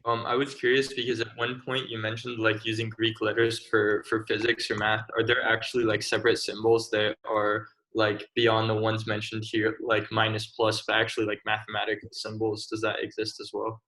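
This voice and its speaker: A man's voice: fairly low pitch, monotone, moderate pace, hardly any pauses, pushed and bright, rough recording. A young man in the audience asking questions on a video call, casual, with "like" scattered throughout. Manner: filler "like" throughout. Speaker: student audience member. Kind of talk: casual chat.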